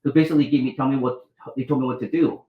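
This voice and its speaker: A man's voice: medium pitch, steady tone, very fast, roomy sound, narrow, tinny audio. A middle-aged man from Vietnam, a doctor and author telling his life story as an interview guest, with many restarts, acting out the dialogue. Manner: rambling, restarts, acts out quoted dialogue.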